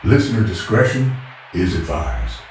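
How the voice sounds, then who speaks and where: A man's voice: low voice, very animated, moderate pace, background noise. A man playing a formal, grave announcer, reading a scripted introduction to an archive recording in an audio drama. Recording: very echoey, music underneath.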